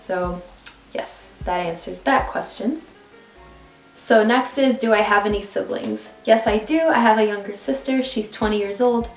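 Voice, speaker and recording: A woman's voice: medium pitch, moderate pace. A woman in her twenties from New York City, chatting casually and rambling with asides in a get-to-know-me vlog recorded on a phone at home. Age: 20s. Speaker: vlogger. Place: at home, indoors. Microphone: phone camera. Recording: roomy room with faint background music.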